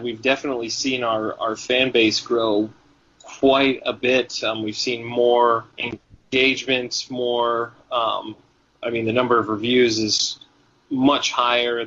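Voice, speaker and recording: A man's voice: medium pitch, bright, forceful tone. A man from Tennessee, a guitarist guest, answering interview questions in a rambling, hesitant way with many ums and restarts. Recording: dull, lo-fi sound.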